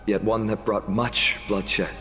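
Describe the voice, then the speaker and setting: A man's voice: fairly low pitch, little pitch movement, takes it slowly, fairly bright tone. An actor playing an earnest young male hero of a fantasy game, speaking in a solemn, formal, heartfelt way. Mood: solemn narrating. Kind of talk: video game voice acting. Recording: long reverb, music behind.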